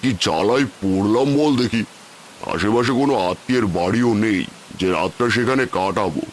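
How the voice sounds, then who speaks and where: A man voicing a haughty, suspicious rich city gentleman in a cartoon moral tale, condescending and complaining. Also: on the low side, fairly quick, a little hiss or hum, close to an everyday voice. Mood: fretful.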